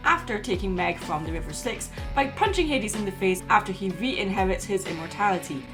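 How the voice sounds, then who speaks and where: A woman's voice: medium pitch, animated, brisk. A woman host reading a scripted video essay in an opinionated, emphatic way. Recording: music playing behind the voice.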